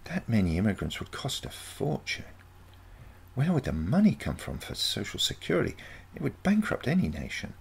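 A man's voice: mid-range voice, huge pitch swings, normal speed, creaky, slightly rough, voice pushed up, full character voice. A man voicing a baffled, sceptical grandparent in a storybook, questioning and incredulous. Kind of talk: storybook character dialogue.

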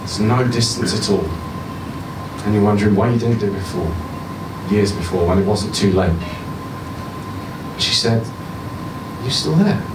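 A man in his thirties reading a short story aloud to a live audience at a stand mic, giving the other speaker's lines a higher voice. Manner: voices the woman's lines higher, shifts to quiet and low near the end. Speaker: story reader. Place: small bar with audience, indoors. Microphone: stand mic, filmed from a far camera. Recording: roomy bar sound from a distance with background hum.

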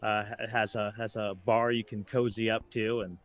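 A man's voice: lowish voice, level tone, moderate pace, dry recording. An American man in his late forties chatting casually as a podcast guest, in a rambling storyteller's way. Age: late 40s. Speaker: guest, writer.

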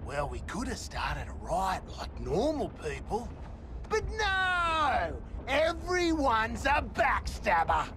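A man's voice: high-pitched, wildly swooping pitch, slow, full character voice. A man with an Australian accent playing a brash, whiny, foul-mouthed rogue in a video game cutscene. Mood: indignant. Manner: loud, blustering, sweary. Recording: loud background music.

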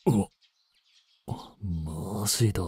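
A man's voice: low voice, slow, a little mellow, no room sound, exaggerated voice. An actor playing a blunt, bossy vampire older brother in a Japanese romance voice drama, scolding as he holds back his hunger. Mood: stern. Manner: blunt, rough-spoken, bossy.

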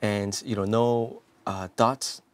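Earnest priest from South Korea, lowish voice. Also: male voice, steady tone, slow pace. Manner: earnest, many restarts and "you know" fillers. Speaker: priest guest. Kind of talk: interview, telling his life story. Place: TV studio. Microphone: lapel mic.

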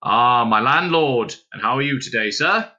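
A man voicing a tall, mysterious gentleman in a storybook, formal and courteous with a testy streak and old-fashioned phrasing. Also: mid-range voice, animated, normal speed, dull audio. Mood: courteous, instructing.

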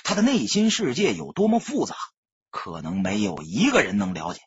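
A man narrating an audiobook as scripted dramatic storytelling, with an emphatic, theatrical delivery and drawn-out phrases. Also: medium pitch, wildly swooping pitch, slightly rough, no room sound, muffled recording.

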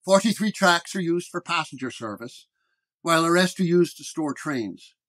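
A man voicing a brash, sneering young police sergeant in a detective story, gruff and needling. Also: on the high side, expressive, moderate pace, a bit bright. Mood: brusque.

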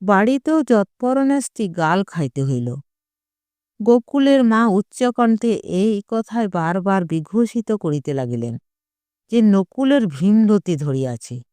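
Audiobook narrator for literary fiction: unhurried, smooth and clear, measured dramatic delivery. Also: medium pitch, darkish tone, no room sound. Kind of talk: expressive literary short-story narration.

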